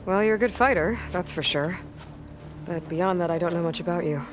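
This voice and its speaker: An actress playing a capable, level-headed young outsider adventurer in a video game cutscene, talking calmly and conversationally. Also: female voice, lowish voice, a little fast, slight background noise, close to an everyday voice. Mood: calm, steady. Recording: music behind the voice.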